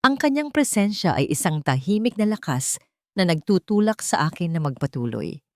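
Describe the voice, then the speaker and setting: Expressive lower-pitched Filipino woman narrating drama. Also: moderate pace, no echo, studio quality. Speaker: audiobook narrator. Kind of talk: scripted dramatic first-person story narration.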